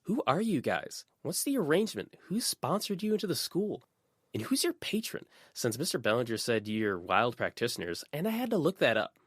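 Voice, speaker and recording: A man's voice: mid-range voice, huge pitch swings, moderate pace, fairly bright tone, breathy edge, no room sound, clean studio sound, voice pushed up, exaggerated voice. A man voicing a nosy, insistent teenage schoolgirl who presses with probing questions in an audiobook. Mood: suspicious, demanding.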